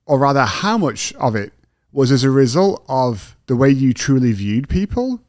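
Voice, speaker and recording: A man's voice: medium pitch, very animated, moderate pace, a little mellow, no room sound, studio quality. A man with a British accent hosting a podcast interview, asking thoughtful questions in a self-deprecating, conversational way. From Britain. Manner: thoughtful, self-deprecating, frequent agreeing "yeah". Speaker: podcast host.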